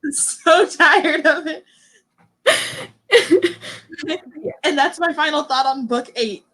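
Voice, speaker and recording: A young woman in her early twenties with a fairly high voice hosts a book discussion. She talks casually, as in a relaxed chat. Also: drawn-out words, pauses often.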